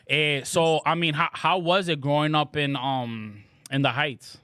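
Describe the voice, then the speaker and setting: A man's voice: a little high, steady tone, unhurried, sharp tone, slight background noise. A man co-hosting a podcast in a small studio, talking easygoingly with many fillers and restarts. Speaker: podcast co-host. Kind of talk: casual podcast chat. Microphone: studio mic with headphones.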